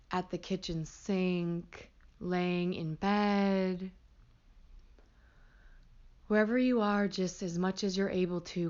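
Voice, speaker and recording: A woman leading a guided meditation as a teacher, gentle and hushed, with pauses between short phrases. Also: fairly low pitch, dull audio.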